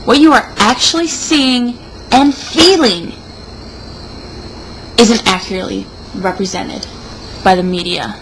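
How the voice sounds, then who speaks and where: A tipsy woman reading an opinion article aloud in a mock-serious, emphatic, drawn-out way, with wry asides. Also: a little high, fairly even intonation, background noise. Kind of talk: theatrical read-aloud with mocking asides.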